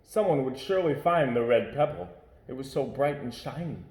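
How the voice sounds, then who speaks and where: A man reads a children's picture book aloud at home, shaping each line expressively. His voice is mellow and dark-toned, and the room adds a noticeable echo. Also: medium pitch, normal speed. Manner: expressive, varied reading. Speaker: storyteller. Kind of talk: children's storybook read-aloud.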